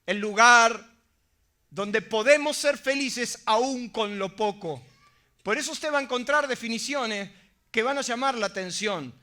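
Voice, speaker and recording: A middle-aged male pastor delivers a sermon in an unusually high, bright voice. He preaches with emphasis and keeps tossing rhetorical questions to his listeners. The tone stays forceful and ringing. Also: measured pace.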